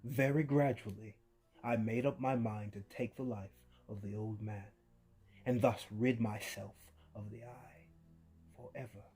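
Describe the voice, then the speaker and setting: A man giving a dramatic horror story reading, swinging between hushed murmurs and sudden loud outbursts, with an unhinged edge and creepy laughs. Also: lowish voice, steady tone, unhurried. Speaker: narrator. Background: faint violin music.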